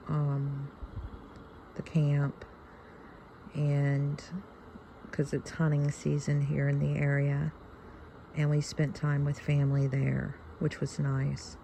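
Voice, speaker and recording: Woman in her fifties, Southern US accent, creaky dark voice, halting reflective vlog chat, light ambient noise. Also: sits low, monotone, rough recording. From Louisiana, United States. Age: fifty-one. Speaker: video channel host. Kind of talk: casual reflective chat to viewers.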